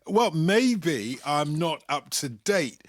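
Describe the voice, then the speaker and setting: Showy radio host, swooping pitch. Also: male voice, very animated, takes it slowly. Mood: showy, upbeat. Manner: showy, gushing, teasing, interrupting. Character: effusive, talkative male radio phone-in host. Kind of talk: radio drama acting, talk show presenting.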